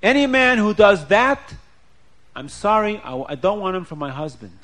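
A man's voice: a little high, lively intonation, quick pace. A man voicing an outraged wealthy wife who scolds her husband in indignant rhetorical questions, in an oral story.

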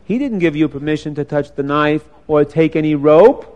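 The good conscience of a storybook boy, voiced by a man, firm and cautioning. It reasons insistently in a fairly high, everyday voice. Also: moderate pace, highs cut off, lightly acted. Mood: firm warning.

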